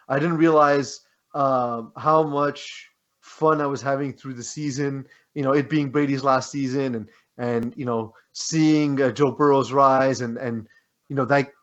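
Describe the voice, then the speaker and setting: A man's voice: mid-range voice, fairly bright tone, highs cut off. A man co-hosting a sports podcast, talking casually and informally.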